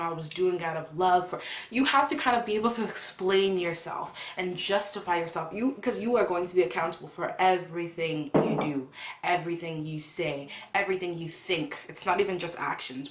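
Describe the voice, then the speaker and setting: A woman's voice: lowish voice, a little hiss or hum. A young woman from Ghana giving a casual, earnest devotional talk to viewers in a conversational way. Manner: earnest, conversational, poses questions to listeners. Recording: echoey room.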